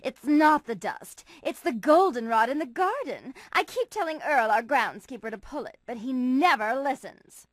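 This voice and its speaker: A woman playing a harried, eager-to-please hotel manager in a cartoon game, expressive and exasperated. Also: high-pitched, normal speed, few pauses, no room sound.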